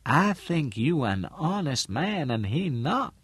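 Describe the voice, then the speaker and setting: A man voicing an earnest, shrewd woman who works in real estate, speaking in a halting, deliberate way in an audiobook. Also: very animated, husky, no echo, full character voice. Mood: wary, frank. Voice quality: low, mellow.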